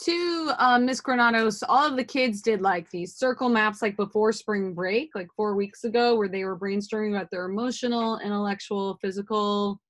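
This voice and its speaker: A woman's voice: mid-range voice, normal speed, hardly any pauses, a bit bright. A woman in her thirties teaching a class over a video call from home, talking casually with many fillers. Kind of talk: online class instruction. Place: home room with art on walls. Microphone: laptop webcam video call.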